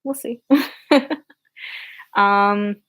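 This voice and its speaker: A woman's voice: steady tone, very slow, bright, forceful tone, slight background noise. A young woman chatting casually on a livestream, rambling with many fillers and restarts, earnest and encouraging as she answers viewer questions. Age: young adult. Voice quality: low speaking voice. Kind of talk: casual unscripted chat on a livestream.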